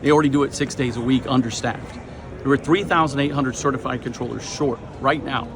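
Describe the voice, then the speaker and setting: A man's voice: mid-range voice, fairly even intonation, a little fast, a crowd in the background. An American man making an emphatic statement to the press as a workers' representative. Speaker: air traffic controllers' representative. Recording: clear urban ambient noise behind.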